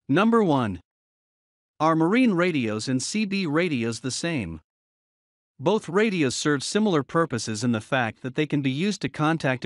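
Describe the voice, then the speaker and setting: Male voice-over narrator, slightly high and animated, dry studio sound, reads a numbered list. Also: no echo.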